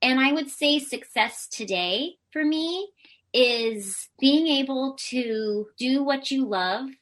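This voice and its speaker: Bright high-voiced woman guest. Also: slow pace. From United States. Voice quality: high-pitched voice. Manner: candid, self-deprecating, laughs often. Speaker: podcast guest, Pilates teacher. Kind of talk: casual interview chat.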